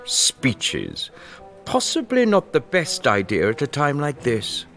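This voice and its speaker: Pompous, cautious politician, swooping pitch. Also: male voice, huge pitch swings, a bit bright, full character voice. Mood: dry, cautious. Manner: measured, pompous, hedging. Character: cautious, self-important senior politician, piqued rival. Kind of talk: historical audio drama. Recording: music bed behind the voice.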